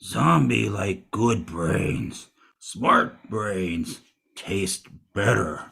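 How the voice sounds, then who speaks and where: A man playing a hungry, picky zombie in a comedic audio play, speaking slow broken English in a rough, gravelly moan. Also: lowish voice, lively intonation, sharp tone, full character voice. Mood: hungry, dismissive. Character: hungry, picky, dim-talking male zombie.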